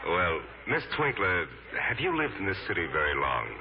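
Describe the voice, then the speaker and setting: Deliberate official Rigby, muffled radio. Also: male voice, sits low, very animated, noisy background. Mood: ominously polite. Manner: hesitant, formal, deliberate. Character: measured, ominously polite male city official Rigby. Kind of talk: 1940s radio acting. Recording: muffled old radio recording.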